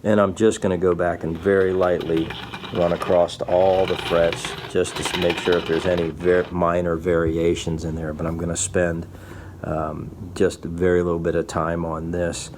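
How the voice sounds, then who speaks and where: A man's voice: low-pitched, level tone, normal speed. A man in his fifties giving a casual, folksy workshop tutorial, patiently explaining each step as a guitar repair technician. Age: 50s. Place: small indoor guitar workshop. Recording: faint background room noise.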